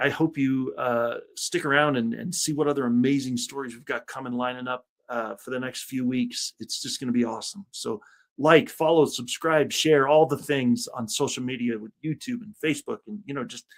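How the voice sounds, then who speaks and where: A man's voice: mid-range voice, steady tone, normal speed. A man hosting an interview podcast, upbeat and chummy, often calling his guest "man.". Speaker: podcast host.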